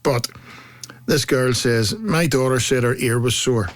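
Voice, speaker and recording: A man's voice: mid-range voice, a little slow. A middle-aged man with a Belfast accent, bantering casually and telling jokey anecdotes as a talk show co-host. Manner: jokey, animated storyteller. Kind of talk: casual comic banter, anecdotes and listener comments.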